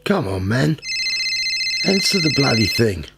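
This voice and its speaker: An actor playing an excited, driven investigator thinking aloud in a radio play monologue. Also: male voice, mid-range voice, measured pace, gravelly, heavy background noise. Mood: excited, impatient.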